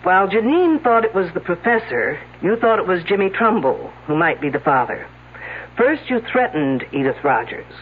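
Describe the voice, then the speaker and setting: A woman's voice: fairly low pitch, big pitch swings, normal speed, slight background noise. An actress playing a confident, wisecracking female detective in a radio mystery, needling the suspects in a sardonic way. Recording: tinny old radio broadcast.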